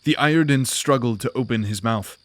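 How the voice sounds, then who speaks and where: A man narrating a fantasy audio drama in a measured, descriptive storytelling manner. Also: mid-range voice.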